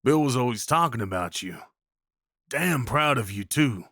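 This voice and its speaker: A man's voice: medium pitch, lively intonation, moderate pace, sharp tone, dry recording, studio quality. A man playing a rugged, kindly cowboy of the Old West in a roleplay audio drama, with a folksy, reassuring drawl. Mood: friendly, amused.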